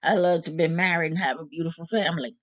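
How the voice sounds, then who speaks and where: A woman in her late fifties talking openly to her audience in a casual, animated, joking monologue. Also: sits low, fast talker, dry recording. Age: 50s. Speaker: vlog host.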